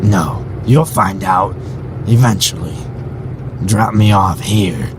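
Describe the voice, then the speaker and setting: A man's voice: deep voice, slow pace, background noise. A man voicing a bitter, ominous faceless man in an audio drama, speaking in preachy philosophical monologues. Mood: cryptic.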